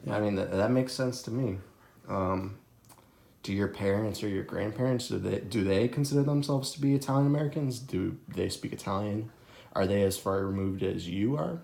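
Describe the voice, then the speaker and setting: A man's voice: lowish voice, normal speed, vocal fry, a little mellow, roomy sound. A young man interviewing a friend in a casual, hesitant way, full of fillers and restarts. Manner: hesitant, lots of fillers and restarts, laughs easily.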